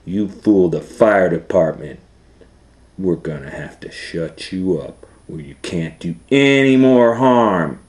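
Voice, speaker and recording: Guy doing a storybook fireman or watchman telling someone off, stern, scolding, still kinda mellow. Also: low voice, lively intonation, slow.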